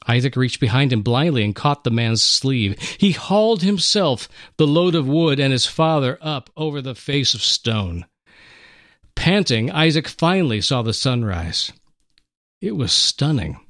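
A man co-hosting a radio program, narrating a story in an expressive, theatrical reading. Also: mid-range voice, no room sound, clean studio sound. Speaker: co-host, dramatic reader.

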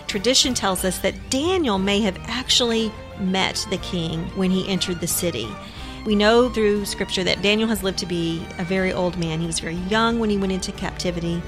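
A woman co-host reading and explaining scripture in a clear, explanatory manner. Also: mid-range voice, moderate pace, over music.

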